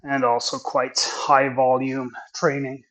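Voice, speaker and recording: A man whose first language is Swedish talks in English through his workout. His delivery is unhurried and thoughtful, with many pauses and restarts. His pitch stays flat and even. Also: poor audio. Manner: slow, thoughtful, halting phrasing. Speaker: athlete training on camera. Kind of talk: reflective training vlog narration.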